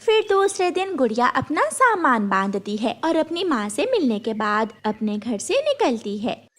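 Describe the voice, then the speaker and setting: High-pitched woman narrating a children's story. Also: big pitch swings, a little slow.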